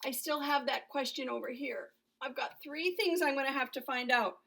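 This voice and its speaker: A woman's voice: high-pitched, normal speed, gravelly, fairly bright tone. A middle-aged woman teacher giving a lesson to young children, asking questions and answering them in simple, explanatory phrases. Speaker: elementary school teacher.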